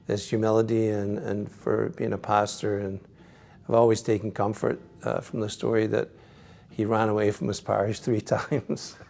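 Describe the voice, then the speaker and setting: Low gravelly Scottish priest. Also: male voice, little pitch movement, normal speed, darkish tone. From Scotland. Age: middle-aged. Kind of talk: reflective interview answers.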